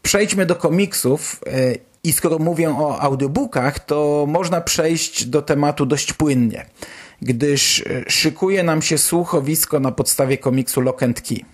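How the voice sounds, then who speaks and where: A man's voice: on the high side, a little slow, husky. A man with a Polish accent hosting a podcast, giving news commentary in a casual, chatty, colloquial way. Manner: colloquial, chatty, mild swearing. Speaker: podcast host.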